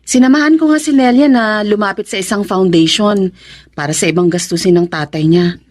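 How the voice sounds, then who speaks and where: A woman's voice: medium pitch, brisk, lightly acted. An actress playing a helpful, cautious and loyal female friend in a Filipino radio drama. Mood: wary, concerned.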